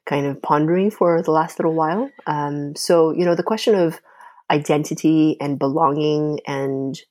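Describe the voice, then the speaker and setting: A woman's voice: low-pitched, steady tone, normal speed, darkish tone, no room sound. A young woman from China, a Mandarin native speaker, talking thoughtfully in an interview with many fillers and restarts. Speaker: podcast host, guest. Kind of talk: casual interview talk.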